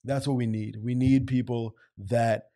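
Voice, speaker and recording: A man's voice: mid-range voice, little pitch movement, a little slow, dark tone. A man hosting a casual interview, talking in a hearty, friendly way and calling his guest "man" and "brother.". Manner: hearty, buddy-like, keeps saying "man". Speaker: podcast host.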